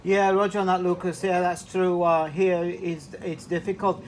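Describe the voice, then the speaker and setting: A man's voice: high-pitched, level tone, moderate pace. A man talking casually as a radio operator over a two-way link, hesitant and repeating words. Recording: slightly noisy two-way radio transmission.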